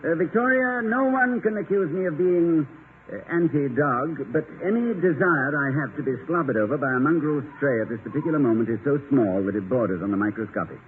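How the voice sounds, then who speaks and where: An actor playing an erudite, flustered professor husband in 1950s radio comedy, wordy and stammering with exasperated politeness. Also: male voice, on the high side, animated, a little fast, lightly acted. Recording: tinny, noisy 1950 radio recording.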